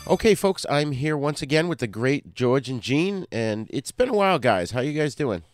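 A man's voice: medium pitch, big pitch swings, normal speed, faint music behind. A man with a Boston accent hosting a podcast, chatting casually and laughing often. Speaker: podcast host.

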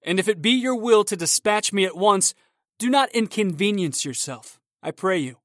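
Man doing a young polite swordsman, high voice, earnest, dry close sound. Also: lively intonation, normal speed, fairly bright tone, no room sound. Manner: earnest, courteous, formal. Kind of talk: audiobook character reading.

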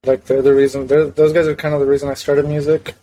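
A man's voice: fairly high pitch, little pitch movement, fast talker, mellow, slight background noise, low-quality recording. A young man from South Florida, a guitarist and singer, talking in a laid-back, hesitant way as a podcast guest. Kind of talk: casual interview chat.

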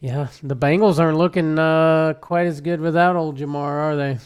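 A man in his thirties, a guest on a sports podcast, chatting in a relaxed way and laughing easily. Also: a little high, moderate pace, clean tone. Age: 30s. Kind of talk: casual sports chat.

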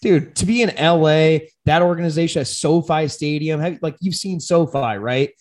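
A young man talking sports with a friend in a loose, slangy way. His voice is a little worn from a busy weekend. He gets animated and laughs easily. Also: a little high, normal speed. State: voice partly lost. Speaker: podcast co-host. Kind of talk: casual sports chat.

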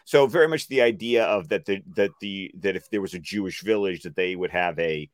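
Man, slightly low voice, nerdy co-host, casual explaining, halting mid-thought, dry close sound. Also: no echo. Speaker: co-host of animated series discussion show. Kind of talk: casual chat, explaining references.

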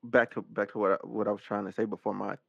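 A man's voice: on the low side, level tone, quick pace, creaky, fairly bright tone, slightly rough, no room sound. Man with dry, dull-sounding podcast audio. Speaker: podcast lead host. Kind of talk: casual discussion and banter.

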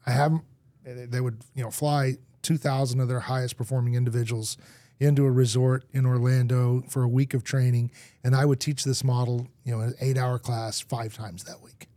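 Animated storytelling man, mellow voice. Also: medium pitch, moderate pace, no room sound. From grew up in Argentina. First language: English. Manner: lively storyteller acting out anecdotes. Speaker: guest, marketing executive. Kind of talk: podcast conversation.

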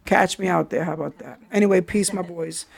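A man's voice: sits high, brisk, gravelly. A man, hosting a podcast and telling his life story candidly in street slang, often saying "yo" and "you understand?". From New York City. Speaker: podcast host.

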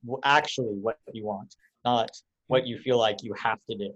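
A man co-hosts a podcast, chatting casually and going off on playful tangents. His voice is at a middle pitch and comes through on slightly muffled, lo-fi audio. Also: moderate pace, fairly bright tone. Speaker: podcast co-host. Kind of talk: casual chat. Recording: dull lo-fi audio.

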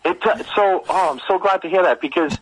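A man's voice: high-pitched, fairly quick, breathy edge, dry recording, rough recording. A man telling a rambling, earnest story as a caller on a recorded radio call. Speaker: prank caller to a radio station.

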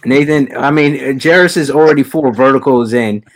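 Male podcast host, average pitch, jokey ribbing tone. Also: moderate pace. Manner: joking, teasing, laughs often. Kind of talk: casual podcast chat.

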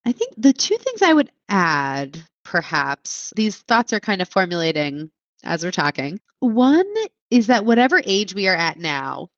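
A woman's voice: lowish voice, big pitch swings, measured pace, a bit bright, no room sound. Reflective woman in her thirties, dull dry audio. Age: 30s. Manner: thinks aloud, reflective, many filler likes. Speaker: clinician guest. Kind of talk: conversational interview answer.

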